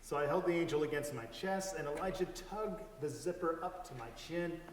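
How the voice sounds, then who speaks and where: A man giving an expressive dramatic reading of a story, acting out the dialogue. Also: on the high side, moderate pace. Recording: echoey hall, distant mic, background hiss.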